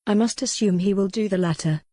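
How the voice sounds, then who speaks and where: A woman's voice: medium pitch, a little fast, dark tone, no room sound, clean studio sound, lightly acted. Frail, wry young noblewoman, formal speech , read by a woman narrator.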